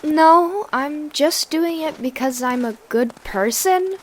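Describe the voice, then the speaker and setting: Woman doing chatty young mutant lizard gal, idealistic and excitable, higher voice, comic dub. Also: takes it slowly. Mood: earnest.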